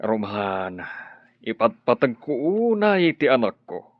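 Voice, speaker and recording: A man's voice: lowish voice, sing-song, unhurried, no room sound. A man playing a firm, cautious middle-aged father in a radio drama. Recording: dry muffled audio with faint music.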